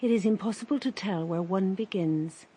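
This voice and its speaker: Mellow, husky woman narrating a story. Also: medium pitch, normal speed. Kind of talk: scripted literary narration.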